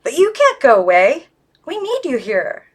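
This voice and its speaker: A woman voicing a dainty female doll in a high voice, sweet and pleading, for a storybook. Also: huge pitch swings, measured pace, heavily acted.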